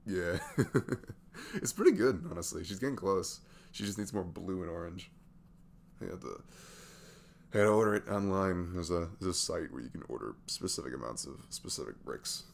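A man in his twenties playing a sweet, easygoing single dad in an intimate ASMR audio roleplay, chatting haltingly in a velvety voice. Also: sing-song, slight background noise, exaggerated voice. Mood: fond, amused. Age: 20s. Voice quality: deep, gravelly velvet voice. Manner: halting, chuckling, conversational.

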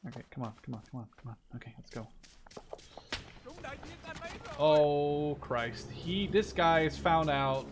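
A man's voice: a little high, moderate pace. A man giving casual, off-the-cuff commentary while playing a game, informal and sweary as he thinks aloud. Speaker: gameplay commentator. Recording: game gunfire behind the voice. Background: shooter game gunfire and clicks.

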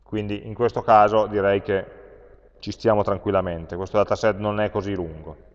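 Low-voiced Italian man lecturing in a hall. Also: flat delivery. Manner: thinks aloud while typing code, many pauses and fillers. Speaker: university lecturer. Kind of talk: live-coding programming lesson. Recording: dull lo-fi lecture capture with long hall reverb.

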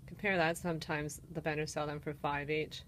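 A woman's voice: sits low, little pitch movement, a little fast, fairly bright tone, slight background noise. A woman with a Canadian accent casually walks through an antique mall, listing items and prices while filming on her phone. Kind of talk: casual walk-through narration. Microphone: handheld phone camera.

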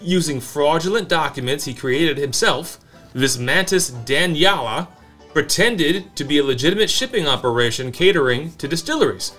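An American man in his thirties co-hosting a show, narrating comic history and bantering in a dry, deadpan manner. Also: fairly high pitch, moderate pace. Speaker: co-host of a whiskey review show. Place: small indoor home-style bar set. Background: background music with guitar.

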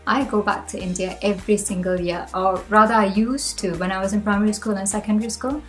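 A young woman raised in Malaysia sits in a room at home and vlogs to the camera in a mid-range voice. Her talk is earnest and chatty, with lively lifts in pitch. Faint music plays underneath. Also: fast. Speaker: vlogger. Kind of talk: talking to camera, personal reflection. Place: home room with bookshelves, indoors.

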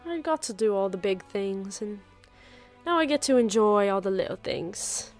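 A woman playing a warm, wry former investigator turned librarian in a full-cast audio drama. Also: medium pitch, expressive, normal speed, faint music behind, natural voice. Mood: reflective.

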